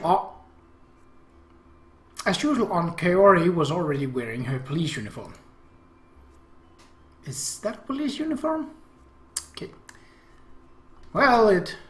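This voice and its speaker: A let's play host commenting on a game and reading its text aloud, breaking off into hesitant, critical asides. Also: medium pitch. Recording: echoey room.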